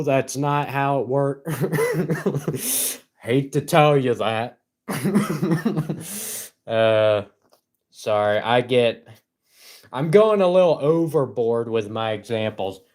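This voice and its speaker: A young man with a gruff voice, rambling cynically on his livestream and laughing between points. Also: medium pitch, a little mellow. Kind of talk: casual solo livestream rant.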